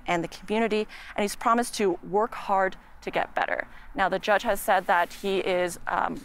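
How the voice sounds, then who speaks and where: A woman with a Canadian accent giving a measured, earnest live news report as a reporter outside a courthouse. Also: medium pitch. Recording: clear background noise, outdoor ambience.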